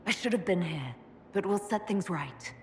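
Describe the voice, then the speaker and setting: An actress playing an earnest, determined and caring heroine in a video game, speaking directly. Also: female voice, fairly low pitch, expressive, moderate pace, in a large hall, slight background noise, lightly acted. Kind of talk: video game cutscene acting.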